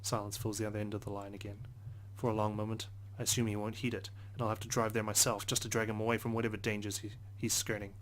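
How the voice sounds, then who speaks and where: A man's voice: on the low side, level tone, fast, hardly any pauses, rough recording. A man reading a visual novel's first-person narration aloud as a let's play host, earnest, with occasional stumbles.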